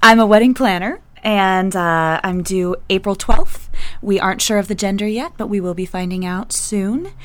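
A woman in her early thirties talks casually as a panelist in a studio. Her voice sits at a middle pitch. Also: normal speed, a bit bright. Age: 30s. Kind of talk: casual self-introduction.

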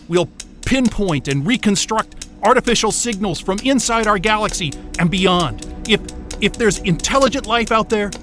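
A man playing a troubled, obsessive astrophysicist unravelling alone at a polar station, intense and halting in a dramatic trailer. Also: high voice, lively intonation, a bit bright, faint music behind. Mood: determined.

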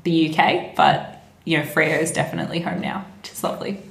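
Deep, creaky-voiced woman chatting. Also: brisk. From born in Scotland, grew up in Perth, Australia from age seven. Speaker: interview guest, tour operator. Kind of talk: casual chat. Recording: echoey room, faint background ambience.

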